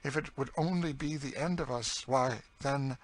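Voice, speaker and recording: A man's voice: medium pitch, moderate pace, slight background noise, pitched above the performer's own voice, close to an everyday voice. A man voicing a bitter, despairing, world-weary enslaved woman in an audiobook, with a dry, emphatic delivery. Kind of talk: dramatised audiobook reading of a classic novel.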